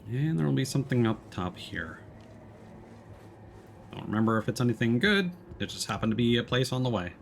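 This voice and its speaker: A man streams a video game with casual, off-the-cuff commentary. His mid-pitched voice moves around a lot as he thinks aloud in an expressive, playful way. Faint noise and game ambience sit behind him. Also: a little fast, gravelly, pauses often.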